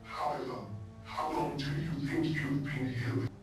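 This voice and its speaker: A man's voice: fairly high pitch, steady tone, a little slow, very echoey, distant mic, music in the background, close to an everyday voice. A man playing a polite, dutiful mechanical steward in a video game, speaking formally and precisely. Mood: courteous, helpful. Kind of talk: scripted video game character dialogue.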